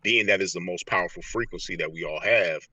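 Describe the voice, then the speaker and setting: Very deep, bright-voiced man teaching earnestly. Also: a little fast, breathy edge, no echo, recorded from a distance. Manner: earnest, explanatory, repeats phrases for emphasis. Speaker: interview guest, motivational speaker. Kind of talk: conversational motivational teaching. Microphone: earpiece on a remote call.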